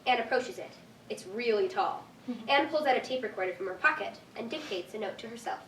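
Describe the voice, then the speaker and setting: A woman's voice: on the high side, expressive, a little fast. A young woman reading stage directions aloud as scripted narration at a staged reading. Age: young adult. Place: echoey indoor room at a staged reading. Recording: echoey room, distant mic, faint noise.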